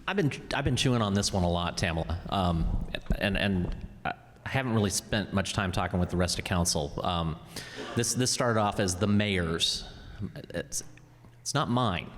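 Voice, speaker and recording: Low-voiced man, halting, in a reverberant hall. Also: expressive, a bit bright, slight background noise. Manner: halting, repeats words while thinking. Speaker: city council member at a public meeting. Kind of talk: unscripted meeting remarks.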